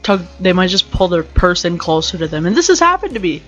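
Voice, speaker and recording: A young woman with a deep voice hosting a casual motivational rant podcast, rambling and joking as she goes. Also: very animated, fairly quick, fairly bright tone, lo-fi sound, soft background music. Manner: rambling, joking, self-interrupting. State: slightly sick with allergies. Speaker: podcast host.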